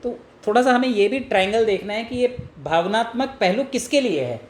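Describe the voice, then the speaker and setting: A man's voice: high-pitched, lively intonation, a little fast. A man from India giving informal sales coaching in an earnest, explanatory manner, acting out example calls and asking rhetorical questions. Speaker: network marketing trainer. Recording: echoey room with faint noise.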